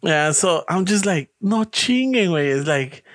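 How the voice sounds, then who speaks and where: A man's voice: sits high, animated, moderate pace, fairly bright tone, no room sound, clean studio sound. Hoge stem, man van midden veertig. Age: 40s. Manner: swearing, rambling, says "like" and "dude" a lot. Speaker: podcast co-host. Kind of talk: casual profane chat, mixing English and Spanish.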